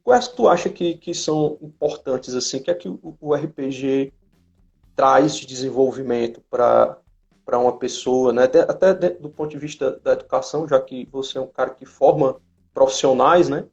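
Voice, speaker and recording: Gravelly man, dull lo-fi audio. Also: medium pitch, steady tone, normal speed, a little mellow. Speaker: host of a live chat. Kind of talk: casual interview chat. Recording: dull lo-fi live-stream audio.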